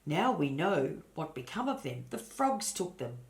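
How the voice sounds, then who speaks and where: A woman's voice: low voice, big pitch swings, measured pace. An eager little girl from a storybook, as an older woman reads her. She is curious and exclamatory, a child who readily speaks her mind. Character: young girl, curious, excitable, scolding her little dog.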